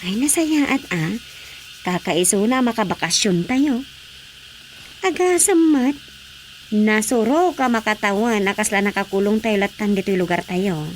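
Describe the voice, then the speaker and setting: A woman's voice: medium pitch, a little slow, a little hiss or hum, close to an everyday voice. A woman with a Filipino accent acting a cheerful wife in a radio drama.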